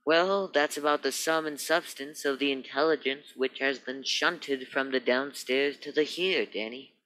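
A man's voice: medium pitch, measured pace, bright, forceful tone, poor audio. A man playing a wordy, comically formal police sergeant in a radio play, with a florid, officious, eager manner. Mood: pompous, eager.

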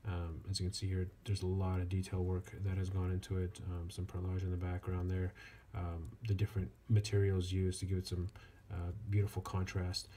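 A man giving a casual hands-on product review as a reviewer, speaking in a halting way with frequent pauses. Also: low-pitched. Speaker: watch reviewer. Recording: clear steady background noise.

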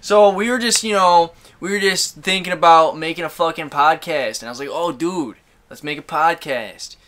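Foul-mouthed 20s guy from michigan cohosting a podcast, bright edgy voice. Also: on the high side, moderate pace. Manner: loud, profane, laughing often. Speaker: podcast co-host. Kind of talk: crude casual chat.

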